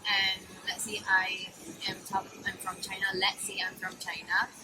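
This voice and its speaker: A young Malaysian woman with a mid-pitched voice gives casual interview answers. She thinks aloud in short, hesitant phrases, with music clearly playing behind her. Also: level tone, moderate pace, poor audio.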